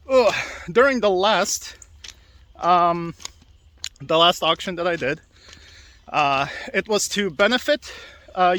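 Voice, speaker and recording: Casting: chatty high-voiced male vlogger, rambling and cheerful, filmed outdoors while walking. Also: a bit bright, slight background noise. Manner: rambling, upbeat, laughs often, many fillers. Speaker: video podcast host. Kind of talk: casual walking vlog. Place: outdoors by railway tracks.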